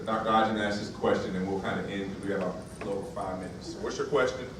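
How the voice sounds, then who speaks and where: Male moderator, brisk and directive, low voice, distant echoey sound. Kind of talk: moderating a panel. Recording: echoey hall, distant mic, noise.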